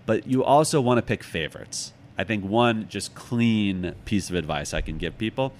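An American man from Chicago talking casually as an interview guest, halting and thoughtful as he tells anecdotes and gives advice. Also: fairly low pitch, a little hiss or hum. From Chicago, United States. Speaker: author and podcast guest.

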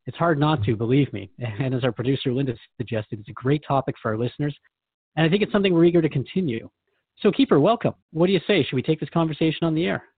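Male radio host, brisk pace, earnest, inquisitive, conversational, dry close sound, muffled narrow band. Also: fairly high pitch, breathy edge, no room sound. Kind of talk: conversational scripted radio hosting.

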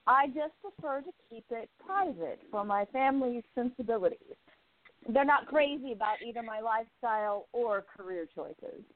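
Sassy, lively flapper columnist played by a woman. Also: on the high side, normal speed, close to an everyday voice. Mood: confident, cool. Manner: sassy, breezy, self-assured. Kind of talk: 1920s radio play acting. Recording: tinny narrow-band phone-like audio.

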